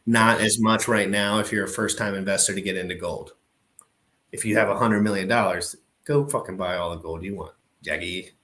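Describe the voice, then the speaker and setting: A man giving brash, profane, excitable commentary while reacting to an old crypto video. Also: lowish voice, a little fast.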